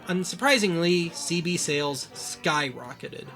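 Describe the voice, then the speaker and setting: A man narrating a scripted documentary as its host, with wry, deadpan humour. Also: fairly high pitch, measured pace, over music.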